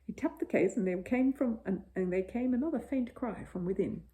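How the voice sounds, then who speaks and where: A woman narrates a children's bedtime story. Her tone is mellow and soft-edged, and her pitch moves expressively up and down as the scripted reading goes along. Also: animated, a little fast.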